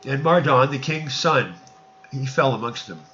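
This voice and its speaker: A man reading archaic scripture narrative aloud in an emphatic, declamatory and sometimes halting way. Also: medium pitch, pushed and bright, dull audio, slight background noise.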